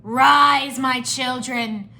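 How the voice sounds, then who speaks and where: A woman's voice: high voice, steady tone, very slow, sharp tone, full character voice. A woman voicing a menacing winged male sorcerer in a storybook, declaiming his commands. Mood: commanding, menacing.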